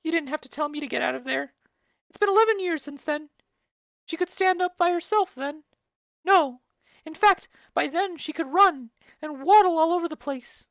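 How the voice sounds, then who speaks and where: A woman voicing a chattering, bawdy old female nurse in a dramatic reading of a play, rambling and loud. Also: high-pitched, moderate pace, dry recording. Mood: gossipy. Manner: loud, rambling, giggly storytelling.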